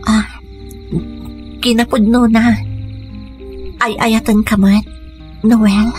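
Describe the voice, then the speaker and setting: High-voiced devoted young Ilocano woman. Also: level tone, drawn-out words, darkish tone. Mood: shy, surprised. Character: devoted young activist woman named Irene, deeply in love. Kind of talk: Ilocano radio drama acting. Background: music under the dialogue.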